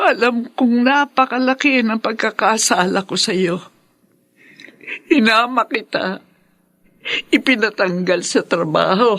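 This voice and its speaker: Radio drama penitent older woman, weary and imploring, very slow delivery, expressive pitch. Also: gravelly, exaggerated voice. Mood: remorseful. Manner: slow, emotional, pleading. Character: remorseful older mother-in-law, weary and pleading. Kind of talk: Tagalog radio drama acting.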